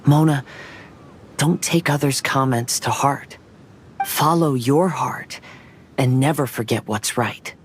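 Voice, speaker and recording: A man voicing a serene, poetic young male wanderer, gentle and thoughtful, in an anime fantasy game. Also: medium pitch, takes it slowly, pauses often, slight background noise, lightly acted. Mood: consoling.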